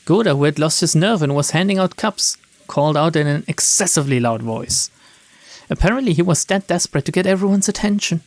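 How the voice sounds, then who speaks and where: A man's voice: fairly high pitch, expressive, brisk, no room sound. Dry-sounding man reading and commenting on a game. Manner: wry, informal. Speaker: video game streamer, narrator. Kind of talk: reading game narration aloud with casual commentary.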